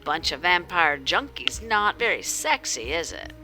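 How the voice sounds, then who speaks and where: Middle-aged vampire addict, played by a woman: gaunt, dryly bitter, hoarse, slow, for a story game. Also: fairly low pitch, sing-song, bright, forceful tone, soft background music, exaggerated voice. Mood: sardonic, bitter.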